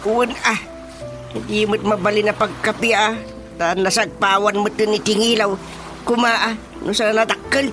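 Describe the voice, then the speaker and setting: A man's voice: high-pitched, level tone, normal speed, over music, natural voice. A man playing a grumbling old husband in a comic radio drama, muttering to himself with frequent exclamations and hums. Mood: excitable.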